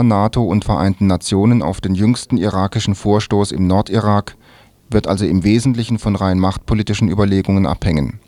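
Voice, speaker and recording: Guy reading the radio news, pretty dark soft-edged voice, scripted, dry studio sound. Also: lowish voice, dry recording.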